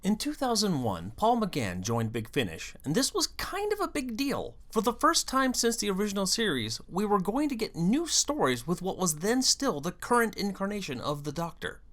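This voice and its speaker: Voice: medium pitch, wildly swooping pitch, normal speed, few pauses, a bit bright. A narrator hosting a scripted fan video essay, explaining things emphatically and theatrically, with wry asides.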